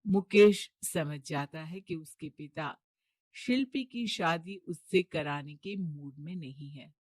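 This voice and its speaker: A woman's voice: low voice, takes it slowly, darkish tone, slightly rough, dry recording. A woman narrator giving scripted narration for a cartoon moral story.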